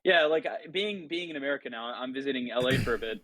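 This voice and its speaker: A man's voice: medium pitch, expressive, fast talker, a bit bright, dry recording, low-quality recording. A man who grew up in Italy, a podcast guest chatting in a loose, enthusiastic, rambling way. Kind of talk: casual podcast chat.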